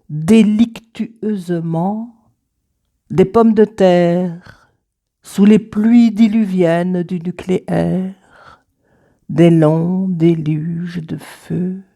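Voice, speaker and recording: A woman reading her own poems aloud in a measured, deliberate way, with dramatic pauses between short phrases. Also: mid-range voice, mellow. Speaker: poet reading own work. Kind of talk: slow recited poetry reading.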